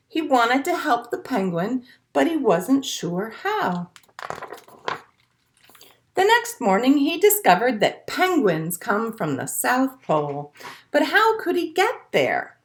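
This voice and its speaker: A woman who hosts children's storytime, reading aloud expressively and speaking simply and encouragingly to small children. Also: a little high. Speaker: children's storytime librarian and host. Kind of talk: reading a picture book aloud to young children, with songs and asides.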